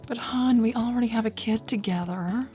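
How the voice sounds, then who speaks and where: A woman's voice: on the high side, normal speed, warm tone, close to an everyday voice. A woman voicing a flirty, teasing, confident female bat in a cartoon fan dub. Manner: teasing, playful, sly. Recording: background music under voice.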